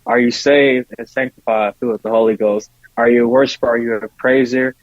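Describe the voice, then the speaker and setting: A man's voice: medium pitch, fairly even intonation, a little fast, no echo, muffled recording. A man speaking casually and earnestly as a podcast guest, often repeating points for emphasis. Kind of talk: casual conversation.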